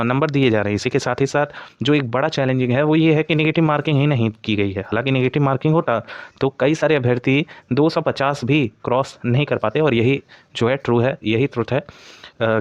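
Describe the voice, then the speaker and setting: A man's voice: mid-range voice, no room sound, dull audio. A man giving an informal explainer as an exam coaching presenter, often padding his points with stock phrases.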